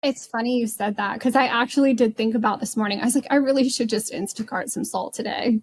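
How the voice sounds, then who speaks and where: A woman with a fairly high voice talks casually on a podcast. She tells small everyday stories in an animated way, poking fun at herself. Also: quick pace. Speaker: podcast panelist.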